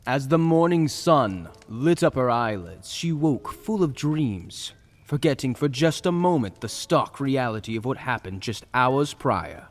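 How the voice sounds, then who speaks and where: A man's voice: mid-range voice, lively intonation, unhurried. A man narrating a fantasy story in a dramatic, scripted storytelling style. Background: soft music.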